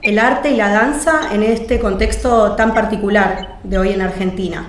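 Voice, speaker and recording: A woman's voice: medium pitch, normal speed, roomy sound, slight background noise. A woman radio host with a Rioplatense accent, chatting in an enthusiastic, informal way. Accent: Rioplatense Spanish. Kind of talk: casual radio chat.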